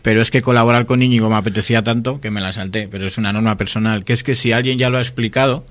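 A man with a Spanish accent from Spain, chatting casually and telling anecdotes in an animated way, often quoting people. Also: fairly low pitch, racing. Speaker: guest, science video creator.

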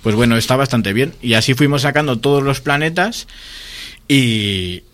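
A man with a Spanish accent from Spain, chatting casually and telling anecdotes in an animated way, often quoting people. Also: mid-range voice, normal speed. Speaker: guest, science video creator.

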